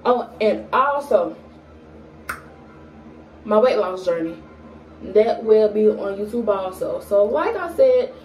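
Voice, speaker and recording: A young woman in her early twenties talks slowly and casually to her camera, filling pauses with plenty of ums and stumbling on a word now and then. Her voice is mellow and relaxed. It sounds recorded in a small echoey room, with the camera set a little away from her. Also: medium pitch, distant mic. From Atlanta, Georgia. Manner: unhurried, with frequent fillers and pauses; stutters at times. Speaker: vlogger. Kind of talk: casual get-to-know-me chat to camera. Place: small indoor room at home. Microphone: camera in front at arm's length. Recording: echoey room, voice a bit off-mic.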